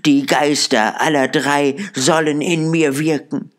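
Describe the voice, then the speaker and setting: Man putting on a desperate old miser, high strained voice, pleading, slow and drawn out. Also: steady tone, fairly bright tone.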